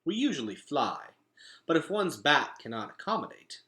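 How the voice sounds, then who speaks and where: A man voicing a calm, commanding leader in a storybook, with stately, formal and archaic phrasing. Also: a little high, moderate pace, voice pushed up. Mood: grave. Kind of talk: acted storybook dialogue.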